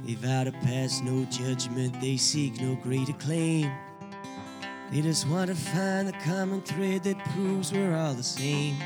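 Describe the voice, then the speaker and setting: A man recites a rhyming introduction at a slow, measured pace. A plucked guitar plays clearly under his voice the whole time. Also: a little high, fairly even intonation. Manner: reads rhyming verse, then breaks off swearing. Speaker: intro narrator. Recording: guitar music behind.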